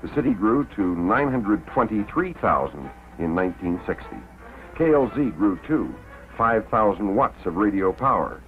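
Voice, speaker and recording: Low-voiced American man, 1950s documentary narration, deliberate pauses, tinny old film sound, faint music behind. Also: very animated.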